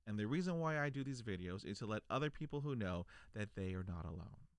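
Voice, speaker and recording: A man who stutters, repeating first sounds, speaks earnestly to his viewers from a small home studio. His voice sits on the low side and comes through a close podcast microphone with a very dry, dead sound. He stays encouraging throughout. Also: expressive, fast talker, clean tone, dry recording. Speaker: vlogger, podcast host. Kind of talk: personal talk to camera. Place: home studio room, indoors. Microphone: large podcast mic on a boom arm.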